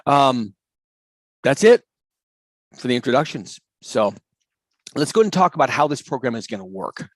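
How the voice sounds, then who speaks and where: A man's voice: mid-range voice, big pitch swings, moderate pace, long pauses, no room sound. A man running a live online coding class, talking casually and enthusiastically with lots of fillers and restarts. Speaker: coding course instructor. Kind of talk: casual live class over video call.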